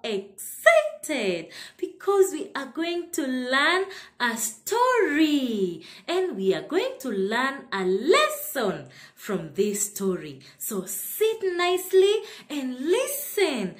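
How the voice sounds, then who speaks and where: A woman's voice: sits high, wildly swooping pitch, slow. A woman preschool teacher telling a story to young children in a sing-song way, repeating phrases and asking them questions.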